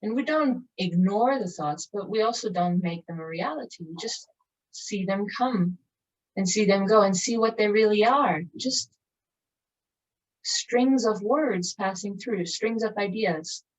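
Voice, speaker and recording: A woman leading a guided meditation in a calm, contemplative, measured manner with long pauses. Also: medium pitch. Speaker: meditation teacher. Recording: distant mic, dull muffled sound.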